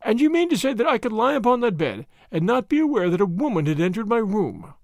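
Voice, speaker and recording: A man voicing an old, scornful professor, a cornered villain with a theatrical, high-keyed, spluttering delivery in a storybook. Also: sing-song, fast talker, creaky, darkish tone, no echo, clean studio sound, heavily acted. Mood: indignant.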